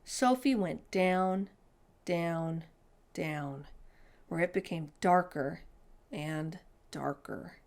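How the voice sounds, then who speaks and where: A woman reading a children's picture book aloud in an expressive storytime narration style. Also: fairly low pitch, drawn-out words, creaky, pauses often.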